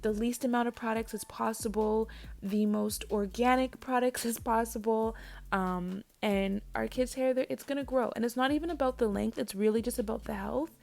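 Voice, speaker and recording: A woman narrating a hair tutorial voice-over, chatty and talking straight to her viewers, with a raspy voice. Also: on the high side, moderate pace, a little mellow. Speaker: parent vlogger. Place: home.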